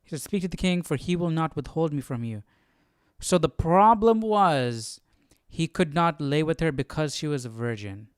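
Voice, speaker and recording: Clear-voiced man thinking out loud, dry sound. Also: a little high, expressive, normal speed, no echo. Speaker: host of a live Bible study. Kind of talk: informal study commentary, reading aloud and thinking out loud.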